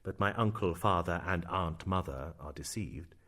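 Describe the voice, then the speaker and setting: An actor's brooding, theatrical young prince. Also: male voice, low voice, normal speed. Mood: bitter, confiding. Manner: theatrical, swinging between hushed and exclaiming. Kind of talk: Shakespearean dramatic reading.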